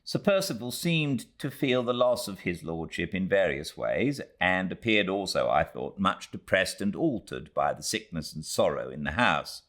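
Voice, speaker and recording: A prim, sanctimonious middle-aged housekeeper read by a British man gives her account in an audiobook. The voice is deep, with very animated intonation and formal, proper delivery. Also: normal speed, hardly any pauses, full character voice. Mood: prim, earnest. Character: prim, self-righteous middle-aged widowed housekeeper narrating her testimony. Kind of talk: classic novel audiobook narration in character.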